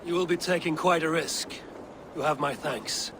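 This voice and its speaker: A man's voice: a little high, moderate pace, background noise, close to an everyday voice. A man acting blunt Viking warrior, raised voice. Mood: conspiring. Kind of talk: video game dramatic acting.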